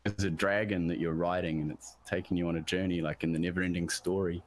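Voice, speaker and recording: A deep-voiced man, a musician guest from New Zealand, chatting casually about production and often restarting his sentences. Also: flat delivery, fast, a crowd in the background. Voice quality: deep bass voice. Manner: rambling, self-interrupting, searching for words.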